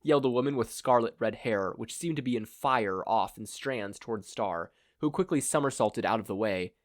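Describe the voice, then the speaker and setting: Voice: mid-range voice, moderate pace, dry recording, clean studio sound. A narrator reading a scripted fan fiction story aloud. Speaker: podfic narrator.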